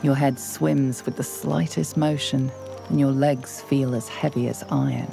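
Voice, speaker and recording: A woman playing a solemn, ominous storyteller, narrating a dark fantasy video game in a measured, dramatic way. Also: a bass voice, fairly even intonation, creaky, heavily acted. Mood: weary, grave. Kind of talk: scripted dramatic second-person game narration. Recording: music behind the voice.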